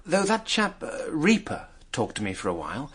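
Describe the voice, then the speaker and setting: An actor playing a hard-up, self-mocking drinker under questioning in a British radio drama, wry and hesitant. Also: male voice, a little high, wildly swooping pitch, normal speed, fairly bright tone, a little hiss or hum.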